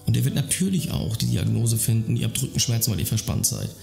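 A man in his thirties with a deeply gravelly, fry-heavy voice talks straight to the camera from a dim room at home. He speaks earnestly, circling back with restarts and fillers as he explains. Faint music plays quietly underneath. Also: fast talker. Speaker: YouTuber talking about mental health. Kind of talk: casual explanatory monologue to camera.

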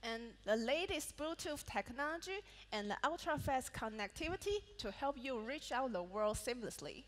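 A woman's voice: sits high, lively intonation, moderate pace, few pauses. Malaysian woman presenting on a reverberant stage. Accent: Malaysian English. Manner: reads prepared product points with small slips and restarts. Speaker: product manager presenting a laptop launch. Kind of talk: product keynote presentation. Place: large event hall on a stage. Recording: reverberant event hall sound.

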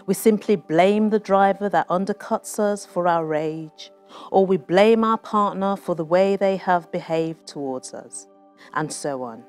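A middle-aged woman, an author reading aloud from her own memoir in a measured, expressive way. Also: on the low side, soft background music. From Nigeria. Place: small TV studio with bookshelves.

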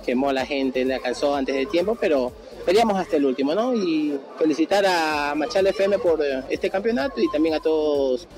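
Slightly high man over music. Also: animated, a little fast. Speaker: runner-up football player. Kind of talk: post-match interview. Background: clear music.

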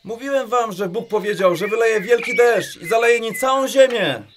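Man acting an earnest, high-voiced loyal son. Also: moderate pace, noisy background. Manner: earnest, insistent. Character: steadfast, loyal young son defending his father. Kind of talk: children's biblical radio drama acting.

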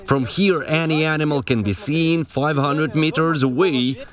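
A man's voice: on the high side, unhurried. A young man chatting enthusiastically in a casual outdoor vlog in a forest. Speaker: vlogger building a treehouse.

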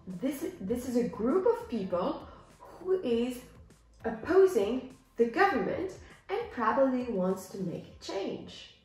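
Echoey, animated young woman teaching English. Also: mid-range voice. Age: young adult. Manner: deliberate, clearly explanatory, gesturing as she teaches. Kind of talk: reading a simplified story aloud and explaining words to learners. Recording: echoey room, music underneath. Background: background music.

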